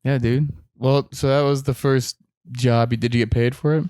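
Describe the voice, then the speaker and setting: Co-host dude, joking around, says dude constantly, dry close mic. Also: male voice, mid-range voice, level tone, moderate pace, dark tone, clear, resonant tone, no room sound. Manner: playful, says dude a lot. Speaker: podcast co-host. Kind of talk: casual chat.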